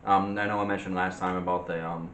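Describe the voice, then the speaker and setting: A man with a fairly low voice debates as a co-host in casual chat. His phrasing is halting and hesitant as he makes his case, and the room around him is echoey. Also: little pitch movement, fairly bright tone. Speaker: co-host of a movie discussion show. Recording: echoey room with faint background noise.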